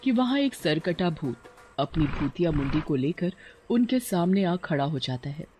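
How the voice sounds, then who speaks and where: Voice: medium pitch, very animated, normal speed, a little mellow, faint music behind. A narrator reading a scripted horror story in a dramatic storytelling style.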